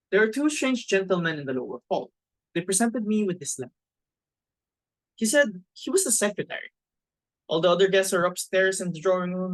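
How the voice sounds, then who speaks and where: A woman's voice: fairly low pitch, dry recording, close to an everyday voice, low-quality recording. A woman acting a dutiful, deferential household servant in a dramatic play reading, formal and polite.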